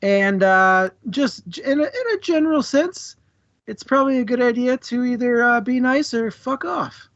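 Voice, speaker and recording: A man co-hosting a book club livestream, chatting casually and jokily with his audience in an informal, halting way. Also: very high voice, lively intonation, fairly bright tone, no room sound, lo-fi sound. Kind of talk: casual chat to a live audience.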